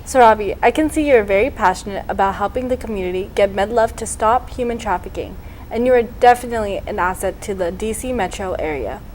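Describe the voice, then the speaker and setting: A woman's voice: medium pitch, a little fast, darkish tone, a little hiss or hum, rough recording. A woman hosting a local TV interview show, upbeat and full of praise for her guest. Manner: upbeat, complimentary. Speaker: TV show host.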